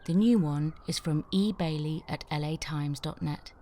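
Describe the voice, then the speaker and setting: A woman narrating the stage directions of a screenplay in a clear, measured way. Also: sits low, mellow.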